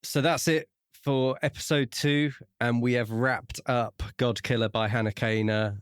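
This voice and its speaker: A man co-hosting a podcast in casual book discussion, talking in a measured way with pauses mid-sentence. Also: medium pitch, fairly even intonation, smooth, dry recording, clean studio sound. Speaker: podcast co-host.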